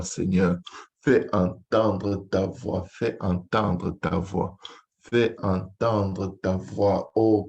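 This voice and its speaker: A man with a dark, mellow voice leads a fervent Pentecostal prayer. He speaks slowly on a fairly flat tone, pleading in repeated invocations. The recording is a little dull and lo-fi. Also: low-pitched, creaky. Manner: repetitive, pleading, chant-like invocation. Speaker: pastor leading prayer.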